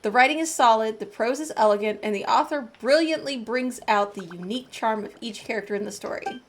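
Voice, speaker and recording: A woman's voice: fairly high pitch, fairly quick, a little hiss or hum. A woman gaming streamer giving casual commentary and reading game text aloud. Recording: game music under the voice.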